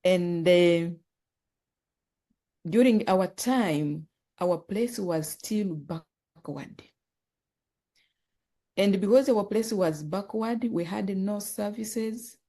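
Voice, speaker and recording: A woman from Uganda, telling her life story as a podcast guest, earnest and halting, often repeating words. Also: mid-range voice, warm tone. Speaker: guest, author and nonprofit founder.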